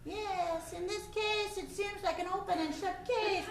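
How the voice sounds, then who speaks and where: A woman's voice: extremely high pitch, steady tone, unhurried, a little hiss or hum. A woman improvising a pompous male gnome official with a put-on French accent, performed live on a theatre stage. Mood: fussy. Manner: haughty, theatrical, bursts into shouting. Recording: roomy live stage, distant mic.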